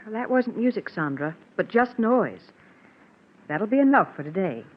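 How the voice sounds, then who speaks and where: A woman's voice: medium pitch, big pitch swings, normal speed, noisy background, natural voice. An actress playing a strained, exasperated mother in a 1940s radio drama, with a genteel, firm, scolding manner. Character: strained, exasperated young mother trying to be patient. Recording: muffled 1940s radio recording.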